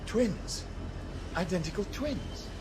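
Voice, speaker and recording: A man's voice: high-pitched, lively intonation, slow pace. An actor playing an earnest, troubled young outsider in a radio drama, questioning what he is shown. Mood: appalled. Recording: audible background noise.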